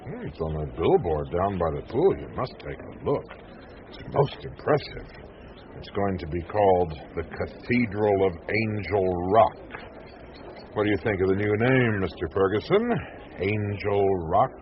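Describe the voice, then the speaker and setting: A cynical reporter in an eighties radio drama. His voice is very low and widely inflected, pointed and insinuating. The old broadcast recording is noisy. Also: animated, unhurried, muffled recording. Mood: sardonic, needling. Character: cynical, needling male American newspaper reporter, indignant crusader. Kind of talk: 1980s radio drama acting.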